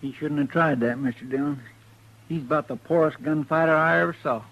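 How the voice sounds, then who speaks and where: An actor playing a loyal, folksy marshal's sidekick with a rural American accent in a 1950s radio western. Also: male voice, a little high, a little fast. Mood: puzzled. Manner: folksy, chatty, deferential. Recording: muffled vintage radio recording.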